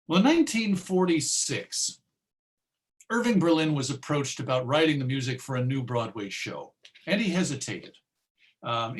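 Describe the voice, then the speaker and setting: A man's voice: on the high side, a bit bright. An American man presenting a music history talk in a conversational, emphatic storytelling way. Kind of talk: informal lecture.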